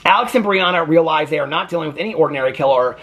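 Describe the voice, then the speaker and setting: A man's voice: a little high, racing, breathy edge. A man chatting casually about books he has read, reading synopses aloud and breaking off with wry complaints and asides. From United States. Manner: animated, chatty, self-interrupting, wry complaints. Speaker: book reviewer.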